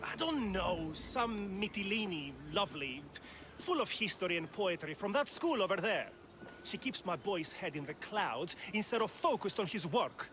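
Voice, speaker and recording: A man's voice: sits high, expressive, moderate pace, a bit bright, slight background noise. A man playing a grumpy middle-aged fisherman father in a video game cutscene. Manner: grumpy, gruff, exasperated. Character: sour, grumbling fisherman father.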